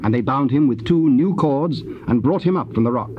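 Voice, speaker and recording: A man giving a solemn, measured scripted narration of scripture. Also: medium pitch. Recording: muffled, noisy old cassette transfer.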